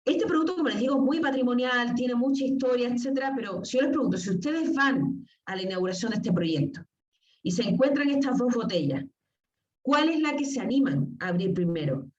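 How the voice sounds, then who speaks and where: A woman's voice: fairly high pitch, expressive, brisk, a little mellow. Woman lecturing, distant echoey mic. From southern Spain. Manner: storytelling, addresses audience directly with questions. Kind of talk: academic talk with slides. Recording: echoey, distant, dull lo-fi sound.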